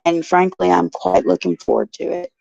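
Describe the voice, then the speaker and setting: A woman with a low, heavily gravelly and raspy voice chats folksily with her viewers. She calls them by name as she talks. The recording is dull, lo-fi and dry. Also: lively intonation, normal speed, warm tone, dry recording. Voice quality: raspy, gruff. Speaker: show host. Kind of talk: casual chat, answering viewers. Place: indoors in a care facility.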